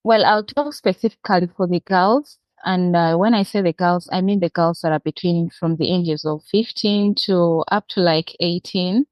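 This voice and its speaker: A woman's voice: fairly low pitch, fairly even intonation, moderate pace, few pauses, darkish tone, no room sound. A woman from Uganda with a Ugandan accent, explaining her work earnestly as an interview guest. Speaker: interview guest, social worker. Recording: dull, muffled lo-fi call audio.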